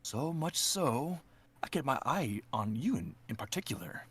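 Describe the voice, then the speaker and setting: A man's voice: medium pitch, big pitch swings, measured pace, fairly bright tone, husky, exaggerated voice. A man voicing a wrathful lord of hell who passes judgement on sinners in pompous, theatrical pronouncements, as improvised comedy roleplay. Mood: grave, condemning.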